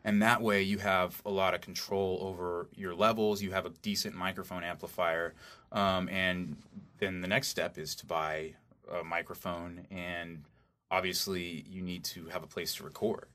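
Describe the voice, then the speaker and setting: A man giving informal interview answers as an audio engineer, haltingly thinking aloud as he explains. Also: low voice, flat delivery, pushed and bright.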